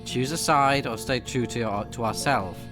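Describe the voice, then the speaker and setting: A man's voice: mid-range voice, normal speed. Man doing game commentary over music. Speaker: let's player, game streamer. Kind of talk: gaming commentary mixed with reading game text aloud.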